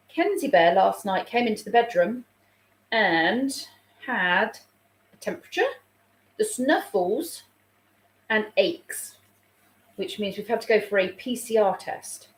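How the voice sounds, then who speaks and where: A woman, a childminder chatting casually on a live stream and reading out viewer comments, a little snuffly with a sore throat coming on. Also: on the high side. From England. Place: room at home. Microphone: phone. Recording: roomy home room echo.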